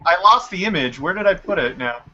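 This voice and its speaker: A man hosting a casual voice chat, with a bright, forceful voice that sits a little high. He sounds scattered, fussing with his screen while he talks. His mic is dull and lo-fi, with a faint hiss under it. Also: animated, a little fast. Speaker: stream host.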